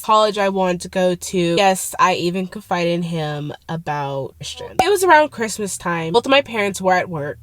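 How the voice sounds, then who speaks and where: A woman's voice: fairly low pitch, a bit bright, no room sound. A young woman with a congested, nasal voice, telling a personal story in a casual, profane vlog. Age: young adult. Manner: casual, profane, quick bursts. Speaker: vlogger.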